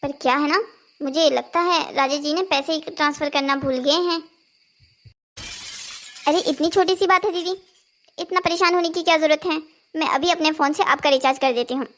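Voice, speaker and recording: A woman acts out a young daughter-in-law from a cartoon household drama. Her voice is very high and girlish. She speaks in a melodramatic, exclamatory way, as someone used to shopping and complaining. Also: steady tone, moderate pace, pauses often, a bit bright. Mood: worried, chatty. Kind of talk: Hindi cartoon family drama dialogue.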